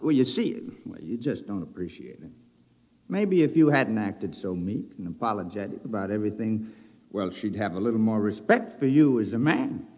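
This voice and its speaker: A man's voice: fairly low pitch, lively intonation, normal speed, darkish tone. A menacing humanoid puppet, a man's double with an American accent. He speaks with smug, mock-polite deliberation and swooping intonation. It is a muffled old radio drama with long reverb. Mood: smugly defiant. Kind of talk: 1950s radio drama acting.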